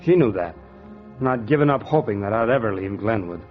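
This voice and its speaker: An actor playing a resentful, meek young houseboy in a 1940s radio drama, speaking earnestly and with some melodrama. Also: male voice, fairly low pitch, expressive, brisk, close to an everyday voice. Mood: bitter narration. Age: young adult. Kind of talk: 1940s radio acting with first-person narration. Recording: dull vintage radio recording with music.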